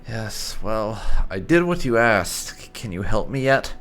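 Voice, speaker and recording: Slow, creaky man with swooping pitch. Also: very animated, a bit bright, soft background music. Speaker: gaming video host. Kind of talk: roleplay video game commentary.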